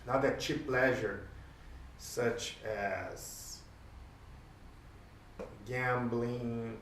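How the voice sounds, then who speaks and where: A man whose first language is Portuguese, musing aloud as host in a reflective solo talk, pausing often and posing questions to the listener. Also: medium pitch, fairly even intonation, a bit bright, slight background noise. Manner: thoughtful, pauses often, rhetorical questions. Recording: roomy, distant from the mic, faint ambience.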